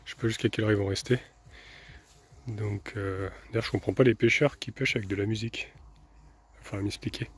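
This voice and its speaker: A man's voice: lowish voice, fairly even intonation, a little fast, long pauses, breathy edge. A tired man in his thirties chatting casually to the camera while driving his camper van, thinking aloud with many fillers. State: tired after a sleepless night. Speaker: vanlife vlogger. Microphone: camera mounted on the dashboard. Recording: engine and road noise behind the voice.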